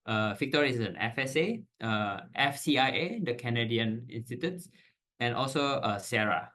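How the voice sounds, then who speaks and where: A man's voice: mid-range voice, animated, normal speed, sharp tone. A man moderating a webcast panel over a video call, reading speaker introductions steadily with frequent "uh" fillers.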